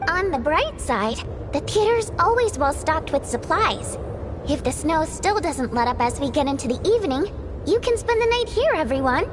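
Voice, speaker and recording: A very high, swooping voice of a young stage starlet, expressive and bossy in a video game story. Clear background noise sits behind her. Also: moderate pace. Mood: upbeat, reassuring. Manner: expressive, exclamatory. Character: lively young female theater star, bossy and sharp-tongued.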